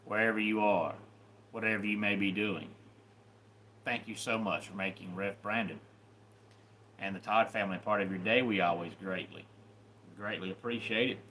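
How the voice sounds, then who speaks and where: A man's voice: fairly low pitch, moderate pace, long pauses, sharp tone, a little hiss or hum. A man with a Southern cowboy accent hosting a casual live stream, greeting viewers by name and plugging upcoming wrestling shows in an announcer's manner. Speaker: YouTube live host and wrestling referee. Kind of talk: casual livestream chat.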